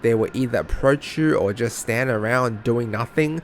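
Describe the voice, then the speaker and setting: A man's voice: medium pitch, moderate pace, slight background noise. A man narrating his own travel vlog as a voice-over, chatty and self-mocking, with casual swearing. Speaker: travel vlogger.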